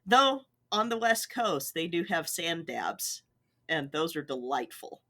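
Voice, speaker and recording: Bright, animated woman, unhurried. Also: deep voice. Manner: enthusiastic. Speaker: podcast co-host. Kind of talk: casual chat.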